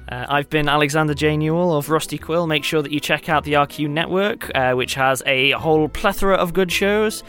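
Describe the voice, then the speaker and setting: A man's voice: a little high, fairly quick, few pauses, fairly bright tone, faint music behind. A man who podcasts, speaking casually and promoting shows in an informal way.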